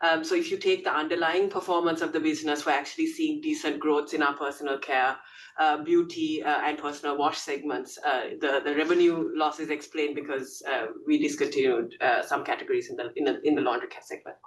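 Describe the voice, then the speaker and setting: A woman's voice: steady tone, brisk. A woman speaking as a company executive, giving a business update on an earnings call. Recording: distant mic, faint rumbling noise.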